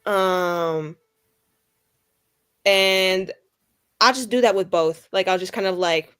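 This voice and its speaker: A woman's voice: medium pitch, takes it slowly, fairly bright tone, clear, resonant tone. A woman playing a tabletop roleplaying game, casually improvising and thinking aloud in a rambling way.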